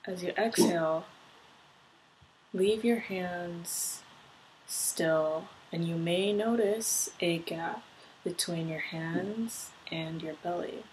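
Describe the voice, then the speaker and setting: A woman leading a guided meditation, giving gentle step-by-step instructions. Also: fairly low pitch, slow pace, poor audio. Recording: clear background noise, ambient sound.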